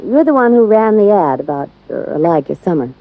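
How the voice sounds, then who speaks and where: A guarded young woman speaks hesitantly and keeps her reasons to herself. Her voice sits at an ordinary middle pitch. It is heard through the dull, muffled sound of a vintage radio drama. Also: very animated, a little fast. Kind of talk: 1950s radio drama acting. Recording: dull lo-fi old radio recording.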